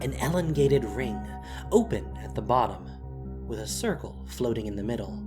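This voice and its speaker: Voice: mid-range voice, animated, normal speed, music in the background. A narrator reading a scripted fantasy story in a dramatic storytelling style.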